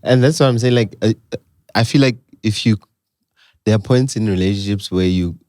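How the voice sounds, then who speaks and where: A man with a South African accent co-hosting a podcast, talking loudly and animatedly, swearing freely in casual chat. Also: on the low side, normal speed, dry recording, studio quality. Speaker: podcast co-host.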